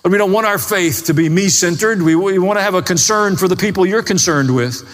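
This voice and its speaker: A man's voice: high-pitched, fairly quick. Male pastor preaching n praying, folksy plain talk, big hall reverb. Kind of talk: sermon and closing prayer. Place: large hall, preaching to a congregation. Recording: echoey hall with long reverb.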